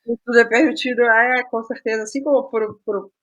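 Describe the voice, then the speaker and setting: A middle-aged Brazilian woman speaking as an interview guest, reflective and halting, qualifying her own words as she goes. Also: on the high side, fairly even intonation, a bit bright, muffled recording. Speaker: guest playwright.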